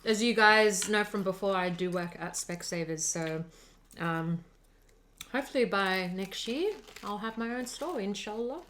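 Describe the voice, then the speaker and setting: A young woman co-hosting a casual chat, soft-spoken and hesitant, with many ums. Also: mid-range voice, measured pace. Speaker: podcast co-host.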